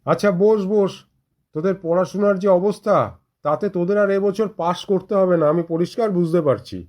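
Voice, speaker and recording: A man's voice: high-pitched, a little fast, darkish tone, close to an everyday voice. A man voicing a stern, exasperated schoolteacher in a comedy cartoon, scolding and sarcastic as he bosses pupils. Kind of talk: exaggerated cartoon comedy dialogue.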